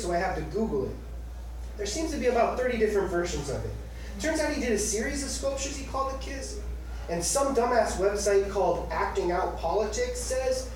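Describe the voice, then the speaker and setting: Guy in his 30s reading his memoir out loud, kinda wry, really echoey room w a bit of hiss. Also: high voice. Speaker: author reading from own memoir. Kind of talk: live literary reading of personal essay. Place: indoor book-launch reading room with audience. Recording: echoey room from far off, faint noise.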